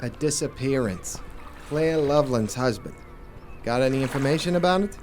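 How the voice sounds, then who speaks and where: A man's voice: mid-range voice, moderate pace, darkish tone, close to an everyday voice. A man playing a hard-boiled, world-weary 1940s private investigator in a noir radio drama, with a wry, brooding manner. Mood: probing. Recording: roomy, distant microphone, music underneath.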